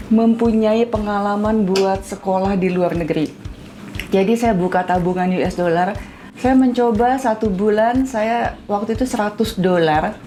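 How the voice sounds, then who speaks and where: A woman in her fifties answers interview questions casually at a middling pitch. There is faint noise behind her voice. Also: mellow. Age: 50s. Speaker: interviewee, mother of two.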